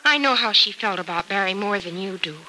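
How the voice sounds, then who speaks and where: A tender, loyal woman in a vintage radio serial. She is earnest and emotive, with a very bright mid-range voice and audible recording noise around it. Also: medium pitch, animated, a little fast, low-quality recording. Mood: wistful. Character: tender, sorrowful woman, loyal and supportive friend. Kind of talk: old-time radio soap opera acting.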